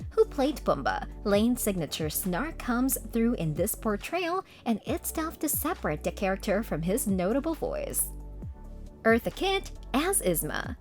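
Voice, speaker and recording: Lively woman narrator over faint music. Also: mid-range voice. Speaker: narrator of a list video. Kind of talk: scripted narration. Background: background music.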